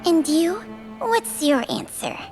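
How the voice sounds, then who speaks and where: Game role: ancient female snake spirit, high and unhurried, sardonic, world-weary, theatrical, over music. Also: big pitch swings, full character voice. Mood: weary, resigned. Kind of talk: video game voice acting. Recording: background music.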